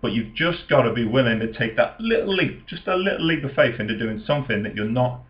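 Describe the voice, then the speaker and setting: A man in his twenties giving casual, unscripted advice as a personal trainer, often repeating and rephrasing himself in a halting way. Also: mid-range voice, animated. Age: 20s. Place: small room at home, indoors. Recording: dull lo-fi webcam sound.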